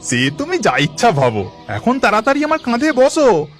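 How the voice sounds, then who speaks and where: A man's voice: very high-pitched, huge pitch swings, normal speed, soft background music, heavily acted. A man voicing a kindly god in disguise in a cartoon, coaxing and reassuring. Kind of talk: cartoon voice acting.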